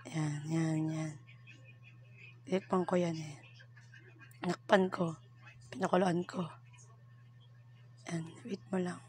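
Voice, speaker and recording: Woman, cooking vlogger, chatty, giggly, hoarse and breathy, lowish voice, home bathroom. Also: slight background noise. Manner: chatty, giggly, self-teasing. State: voice hoarse, partly whispery. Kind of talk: casual cooking tutorial in Taglish.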